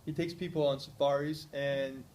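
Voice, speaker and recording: A man's voice: medium pitch, moderate pace, a little hiss or hum. A man telling a story to friends in casual chat, acting out the people he quotes and often restarting his sentences. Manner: animated, acts out quoted voices, restarts often.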